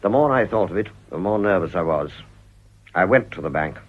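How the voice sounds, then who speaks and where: An actor playing a stern, honest middle-aged company director in a radio drama, speaking gravely and firmly with a British accent. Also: male voice, low voice, animated. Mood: accusing. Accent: British English. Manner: grave, firm, deliberate. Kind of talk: radio play acting. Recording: dull, muffled old radio recording.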